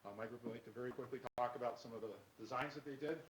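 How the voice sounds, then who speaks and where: A man with a loud voice, speaking informally as a teacher and team advisor presenting at a meeting. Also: medium pitch, fairly even intonation, racing. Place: meeting room. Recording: echoey room with faint noise.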